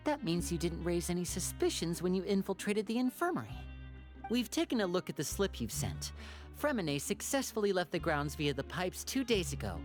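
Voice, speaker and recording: Young gentleman, protective older brother, measured, polite, watchful, video game, music in background. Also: high voice. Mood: composed, businesslike.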